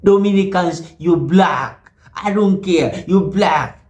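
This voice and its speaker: A man's voice: sits high, takes it slowly, darkish tone, roomy sound. A man hosting a reaction video, commenting in emphatic, preachy asides.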